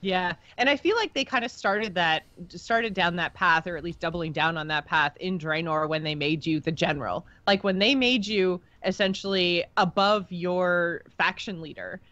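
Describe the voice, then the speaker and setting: A woman with a fairly low voice talks casually as a gaming podcast co-host. She is chatty and lays out her points at length. The sound is very dry and a little muffled, with no room around it. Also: moderate pace, hardly any pauses, fairly bright tone, no echo.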